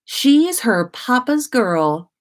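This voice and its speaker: A woman's voice: fairly high pitch, very animated, extremely slow, voice pushed up, full character voice. A doting young father from a storybook, read by a woman. He is playful and teasing with his little girl, and he takes his time over his words.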